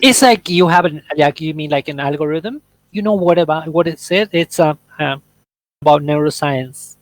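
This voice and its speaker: A man's voice: fairly high pitch, moderate pace, dry recording, low-quality recording. Upbeat Spanish-native man leading practice. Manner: eager explainer, builds points with everyday examples. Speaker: workshop leader. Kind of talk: casual conversation practice in English.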